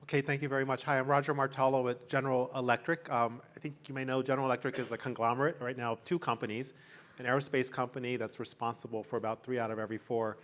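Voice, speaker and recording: A man, a corporate executive, introducing himself on a panel in a brisk, polished, businesslike way. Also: medium pitch, little pitch movement, a bit bright.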